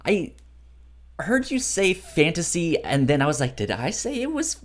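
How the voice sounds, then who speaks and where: A podcast co-host chatting casually about books in a halting way, often trailing off and rephrasing. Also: medium pitch, very animated. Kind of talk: casual book discussion chat.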